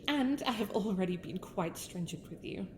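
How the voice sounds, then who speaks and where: A woman's voice: medium pitch, expressive, normal speed, noisy background, close to an everyday voice. A woman with a British accent playing a haughty young winter princess in a scripted ASMR roleplay, speaking in formal, courtly phrases. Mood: contrite, courteous. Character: haughty sharp-tongued young winter princess with a softer, regretful side.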